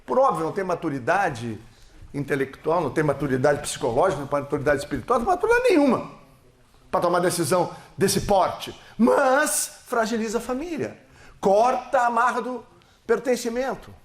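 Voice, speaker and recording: A man's voice: very animated, brisk. Emphatic Brazilian man in an echoey hall. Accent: Brazilian Portuguese. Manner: emphatic, swelling and dropping in pitch. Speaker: seminar speaker. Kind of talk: political seminar speech with slides. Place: lecture hall.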